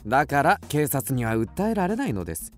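An actor playing a cool, self-assured young detective in a radio drama, explaining things deliberately and theatrically. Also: male voice, medium pitch, very animated, faint music behind, close to an everyday voice. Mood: intense, revealing. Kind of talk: Japanese radio drama acting.

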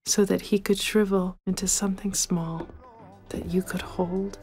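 A woman playing a solemn, knowing figure in an animated film drama, speaking in a measured, poetic way. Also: medium pitch, lightly acted. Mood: grave, compassionate. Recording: loud music behind the voice. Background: music.

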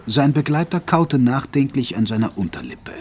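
Husky male audio drama narrator. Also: mid-range voice, very animated, moderate pace, a little mellow.

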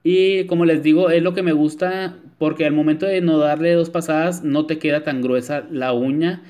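A man's voice: a little high, steady tone, normal speed, a little mellow. A man narrating a nail tutorial in a chatty voice-over, with frequent filler words. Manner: chatty, many filler "este", addresses viewers as "chicas". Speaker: nail technician.

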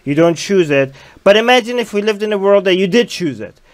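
Young male stream host, fairly high voice, headset mic, reads out chat comments and argues back casually. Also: expressive, a little fast. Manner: reads viewer comments aloud and argues points. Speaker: livestream host.

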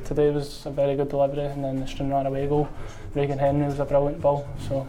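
A man's voice: medium pitch, monotone, fast, dark tone, low-quality recording. A young Scottish man, a footballer, answering post-match interview questions in a modest, hesitant way with many fillers, indoors in front of a sponsor board.

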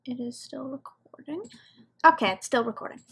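A woman's voice: high voice, normal speed, a little hiss or hum. A woman giving casual reaction commentary as she watches.

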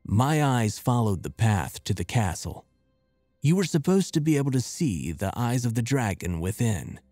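A man reading a scripted horror story in a measured, deliberate and expressive way. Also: mid-range voice, dry recording, clean studio sound. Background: music.

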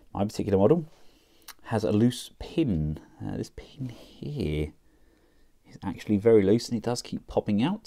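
A man talking casually through a toy review, chatty and relaxed with frequent fillers as he works the figure with his hands. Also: on the low side. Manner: relaxed, chatty, thinking aloud with many fillers.

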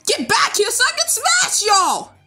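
Bright, wildly swooping, dramatic woman. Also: extremely high pitch, huge pitch swings, a little slow, soft background music. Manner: dramatic, exclamatory. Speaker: fan dub voice actor. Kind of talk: cartoon fan dub acting.

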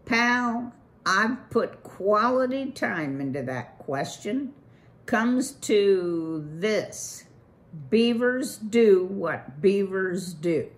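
A woman reading a storybook, voicing a brash, fast-talking male beaver boss with slangy salesman patter. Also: medium pitch.

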